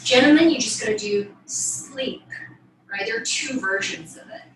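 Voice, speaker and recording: A woman's voice: mid-range voice, normal speed, a bit bright. A young woman teaching a ballet lesson to camera, explaining casually and often checking in with a tag question. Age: young adult. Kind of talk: instructional demonstration, explaining to camera. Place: small bedroom, indoors. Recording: echoey room, distant mic, faint noise.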